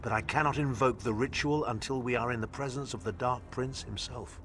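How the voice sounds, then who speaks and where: An actor playing a grim, proud companion to a hero in a video game, speaking bluntly and solemnly. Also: male voice, medium pitch, a little fast, fairly bright tone, faint music behind, close to an everyday voice. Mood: solemn warning.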